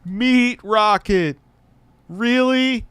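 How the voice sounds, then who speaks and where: A man's voice: very high voice, drawn-out words, a bit bright, slight background noise. A man hosting a livestream, giving crude, mocking commentary over a video and laughing often. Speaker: livestream host reacting to videos.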